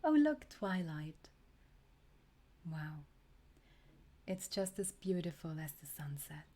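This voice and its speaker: A woman acting a tender, wistful girlfriend in an intimate romantic audio roleplay, speaking softly and breathily, often dropping toward a whisper. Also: sits low, sing-song, slow pace, heavily acted. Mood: playful, loving. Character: tender, wistful time-traveling girlfriend speaking to her beloved.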